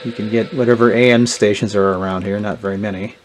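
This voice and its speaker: A man's voice: lowish voice, steady tone, quick pace. Man casually demonstrating, with noisy background. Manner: plainspoken, unscripted explaining. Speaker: gadget reviewer. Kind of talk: casual hands-on product walkthrough. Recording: clear background noise and clicks.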